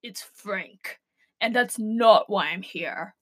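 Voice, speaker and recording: A woman playing an earnest, defiant radio co-host who declaims deliberately in a comic audio drama. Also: medium pitch, breathy edge. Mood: flatly annoyed. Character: solemn, defiant rebel radio co-host named Frank.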